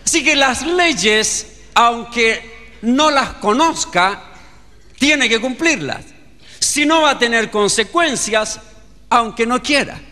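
A Chilean man preaches in a bright, sharp voice that swings widely in pitch. He takes his time and tells his story like a storyteller. A long reverb from the hall trails each phrase. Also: lively intonation, takes it slowly. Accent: Chilean Spanish. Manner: storytelling preacher, acting out dialogue. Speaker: pastor. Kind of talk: sermon.

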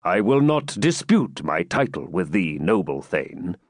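A man voicing a confident medieval king for a storybook, with measured, formal, archaic speech. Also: medium pitch, very animated, dry recording, studio quality. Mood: calm.

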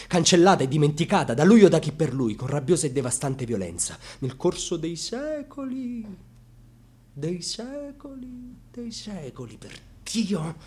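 Proud, hurt grandson, radio drama monologue; husky, highly dynamic delivery from hushed confiding to fierce. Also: male voice, heavily acted. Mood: indignant, defiant.

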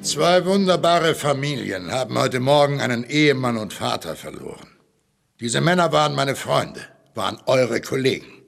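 An actor playing a stern, vengeful, paternal sheriff, grave and authoritative, in a German radio drama. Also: male voice, medium pitch, sing-song, moderate pace, gravelly, fairly bright tone, exaggerated voice. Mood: solemn, vengeful.

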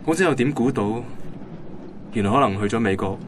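A man's voice: mid-range voice, fairly quick, background noise, natural voice. An actor playing an easygoing, caring young man with a touch of regret, speaking with a Hong Kong accent in a naturalistic radio drama. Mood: regretful.

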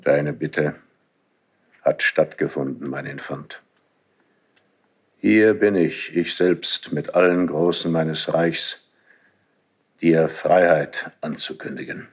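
A man's voice: very deep voice, takes it slowly, pauses often, husky, exaggerated voice. An actor playing a stern older Spanish king and father, formal and commanding, in classical verse for a 1970s German radio drama. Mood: solemn, magnanimous.

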